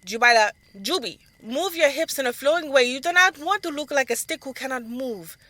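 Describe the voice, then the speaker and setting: A woman's voice: sits high, expressive, a little fast, sharp tone, pitched above the performer's own voice. A woman voicing a fiery, bossy elder sister who dances, with a commanding, insistent delivery in an audio drama. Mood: stern, instructing.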